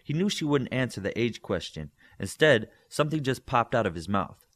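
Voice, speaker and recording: Guy narrating a story audiobook, medium pitch, really lively intonation. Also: normal speed. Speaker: audiobook reader.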